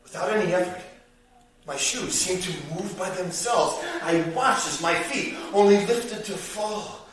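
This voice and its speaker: A man acting a haunted, sardonic storyteller in a dramatic stage monologue, deliberate and theatrical, with long pauses. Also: sits high, fairly bright tone. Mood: haunted, hushed wonder. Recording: roomy echo, distant mic, faint noise.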